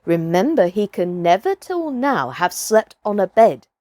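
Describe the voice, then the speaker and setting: A woman voicing a calm, practical village husband in a storybook, with measured, archaic phrasing. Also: medium pitch, no echo, clean studio sound. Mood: calm reasoning.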